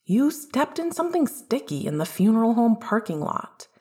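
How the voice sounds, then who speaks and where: A woman's voice: a little high, very animated, moderate pace, warm tone, voice pushed up. A woman reading an upbeat, chatty young man, a caring best friend with an eager, insistent manner.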